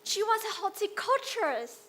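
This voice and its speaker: A woman's voice: extremely high pitch, sing-song, normal speed, fairly bright tone. A young-sounding female performer narrating dramatically in a theatrical readers theater style. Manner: theatrical, exclamatory.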